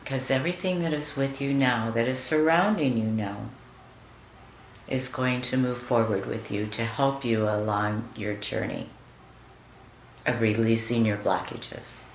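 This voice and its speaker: A woman leading a guided meditation in a soothing, deliberate manner, leaving long pauses between phrases. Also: very deep voice, fairly even intonation, a little hiss or hum. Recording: roomy echo with music behind. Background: music.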